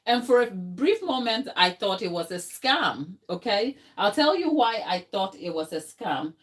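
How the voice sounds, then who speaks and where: A woman's voice: medium pitch, big pitch swings, a little slow. A Ghanaian woman chatting casually in her home kitchen, telling a story with lots of fillers and asides to her viewers. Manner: chatty storytelling, many fillers, talks to live chat. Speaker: home baker and channel host. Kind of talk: casual chat while working in the kitchen.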